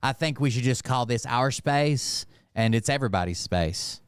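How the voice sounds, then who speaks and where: A man from Montreal hosts a casual political commentary show. His voice is clean and smooth, recorded close and dry. He talks bluntly, with plenty of swearing and a mocking edge. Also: mid-range voice, lively intonation, moderate pace, no echo. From Montreal, Canada. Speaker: host commenting on political clips.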